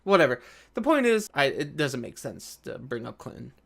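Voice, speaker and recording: A man's voice: medium pitch, big pitch swings, moderate pace, gravelly, fairly bright tone. A man hosting a casual commentary video, reading aloud and adding sarcastic, argumentative remarks.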